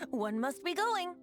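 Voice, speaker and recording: Whimsical boyish bard, lyrical and theatrical, game dialogue over music. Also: female voice, very high-pitched, heavily acted. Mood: breezy. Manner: theatrical, slips into rhyme. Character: whimsical androgynous young bard boy, lyrical and airy. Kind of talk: video game voice acting.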